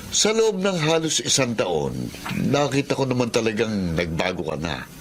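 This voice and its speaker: A man's voice: medium pitch, big pitch swings, moderate pace, slight background noise, lightly acted. A man playing a kindly, encouraging neighbour in a Filipino radio drama, speaking with approval. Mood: approving.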